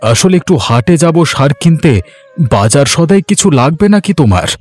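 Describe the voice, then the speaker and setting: A man playing a poor, gentle village farmer and father in a children's cartoon, with a homely, affectionate manner. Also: on the high side, sing-song, moderate pace, faint music behind. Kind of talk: Bengali children's cartoon voice acting.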